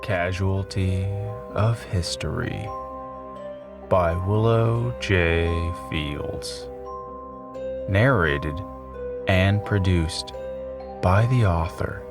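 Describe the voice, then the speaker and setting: A man reading scripted audiobook narration of his own story in a measured, deliberate way, as author and narrator. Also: sits low. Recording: music behind the voice. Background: music.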